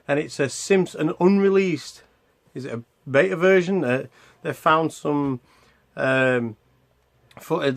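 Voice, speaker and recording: Guy with a kinda higher voice doing a casual gaming vlog, rambles a lot, keeps cutting himself off. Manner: rambling, hesitant, self-interrupting. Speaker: host of a retro gaming video channel. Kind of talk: casual unscripted vlog chat.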